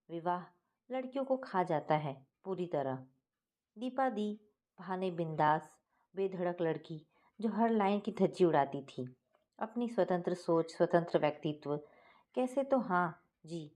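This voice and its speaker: A woman's voice: lowish voice, darkish tone. A woman reading a scripted first-person family story as its narrator.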